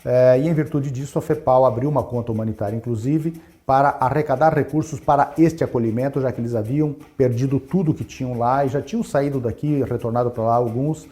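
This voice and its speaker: A man's voice: medium pitch, fairly quick, hardly any pauses, dark tone. A Brazilian-born man who presides over an advocacy federation, giving a firm, formal statement. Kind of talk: statement to camera.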